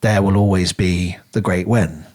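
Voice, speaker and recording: A man's voice: low-pitched, fairly even intonation, a little slow, a little mellow. A man co-hosting a podcast, talking through a book in a casual, conversational and rambling way. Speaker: podcast co-host reviewing a book.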